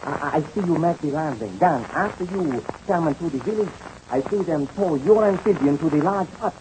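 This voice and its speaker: An actor playing a polite, earnest captured French aviator with a French accent and formal broken English in a 1930s radio serial. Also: male voice, on the high side, animated, normal speed, close to an everyday voice. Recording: hissy old-time radio serial recording.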